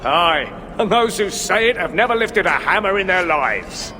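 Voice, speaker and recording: A hearty dwarf blacksmith with a scholar's dreamy streak in a fantasy game. His fairly high voice savours each word theatrically, ringing with reverb over some background noise. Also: animated, moderate pace, sharp tone. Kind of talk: video game cutscene acting. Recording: long cavern reverb, noisy ambience.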